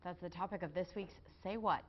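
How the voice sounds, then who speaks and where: A woman's voice: on the low side, brisk, highs cut off. A woman with an American accent reading scripted television news as an anchor.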